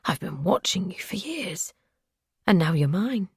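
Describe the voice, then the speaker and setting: A woman voicing an obsessive male captor who speaks in soft, breathy whispers. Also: lowish voice, sing-song, a little slow, dry recording, clean studio sound, exaggerated voice. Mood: creepy, soothing.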